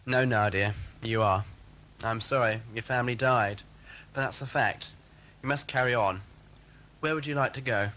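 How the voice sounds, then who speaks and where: Brisk, theatrical time-travelling hero played by a man. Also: mid-range voice, sharp tone, lightly acted. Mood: matter-of-fact. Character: flippant, decisive time-travelling Doctor, adult man. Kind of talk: fan audio drama acting. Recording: music over old cassette recording.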